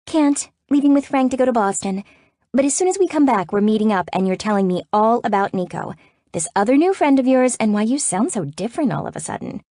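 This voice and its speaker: An audiobook sister, played by a woman narrator, bubbly and blunt. Her voice jumps up high and gets exclamatory, as if easily shocked by whatever she hears. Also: big pitch swings, a little fast, mellow, no echo, clean studio sound. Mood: excited, insistent. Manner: shrieking, exclamatory.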